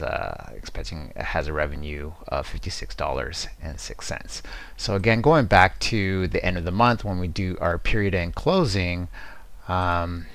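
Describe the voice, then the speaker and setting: Deep-voiced man presenting a software demo. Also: gravelly. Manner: explanatory, conversational, checks in with "right?". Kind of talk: informal screen-share tutorial narration.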